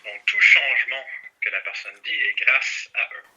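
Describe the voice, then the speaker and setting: A man's voice: medium pitch, expressive, moderate pace, bright, forceful tone, breathy edge, highs cut off. A middle-aged man from Montreal, a native English speaker with an English Canadian accent, explaining his work as an interview guest. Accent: English Canadian accent in French. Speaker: interview guest, neurofeedback practitioner and trainer.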